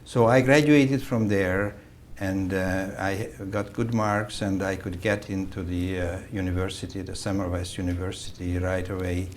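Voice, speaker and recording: A man in his seventies from Hungary, a native Hungarian speaker, telling his life story in a slow, halting way with many fillers and restarts. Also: low-pitched, fairly even intonation, slight background noise. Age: 70s. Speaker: interview guest, vascular surgeon. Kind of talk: recorded oral-history interview.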